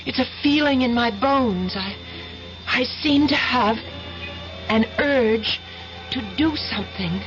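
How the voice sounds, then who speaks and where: An actress playing an earnest, haunted young college student in a 1970s-style radio drama, hesitant and breathless with trailing pauses. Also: female voice, on the high side, music in the background. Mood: anxious, obsessed. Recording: muffled old radio broadcast recording.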